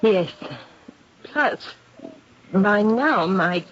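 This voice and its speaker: A woman's voice: mid-range voice, expressive, drawn-out words. An actress playing an anxious, guilt-ridden aristocratic English lady in a 1970s radio drama, speaking in an earnest, refined, confessional way. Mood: guilt-ridden, pleading. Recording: dull vintage radio broadcast.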